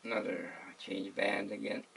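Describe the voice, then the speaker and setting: A man giving an informal, hands-on demonstration in a halting, explanatory way, as the presenter. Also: lowish voice, gravelly, bright, forceful tone, low-quality recording. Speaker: presenter demonstrating a vintage radio. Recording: faint background hiss and room noise.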